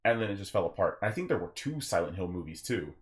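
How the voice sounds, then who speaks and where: A man's voice: deep voice, big pitch swings, quick pace. A young man hosting a gaming podcast, talking casually and giving his opinions in rambling stories with acted-out asides. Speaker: podcast host.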